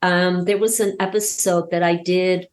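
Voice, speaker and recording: A woman talking casually as an interview guest and telling anecdotes, emphatic and halting, often repeating words as she goes. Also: on the low side, level tone, darkish tone. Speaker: podcast guest, audio drama creator and voice actor.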